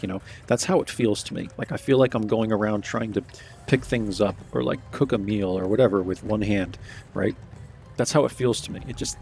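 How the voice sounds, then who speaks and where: A man giving laid-back, rambling commentary over his own gameplay, his voice a bit hoarse and cracking. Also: fairly low pitch, a little mellow.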